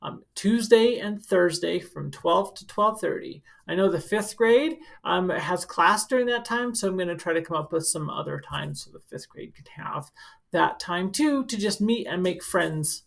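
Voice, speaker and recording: Female school counselor, lowish pitch with heavy creak, reading a children's book with chatty explanations. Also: animated, moderate pace. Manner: instructive, speaking directly to children. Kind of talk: picture book read-aloud with informal asides to children.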